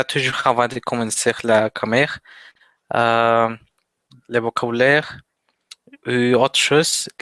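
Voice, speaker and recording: Teen guy, slow hesitant talk, bright super clear voice. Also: mid-range voice, dry recording. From Mexico. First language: Spanish. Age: teens. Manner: hesitant, many pauses and fillers. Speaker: interview guest, language learner. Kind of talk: casual interview chat.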